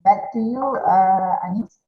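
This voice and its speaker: A woman's voice: medium pitch, unhurried. A woman giving a formal welcome speech on a webinar, hesitant with many fillers. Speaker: university welcoming speaker. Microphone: video call. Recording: roomy, lo-fi call audio.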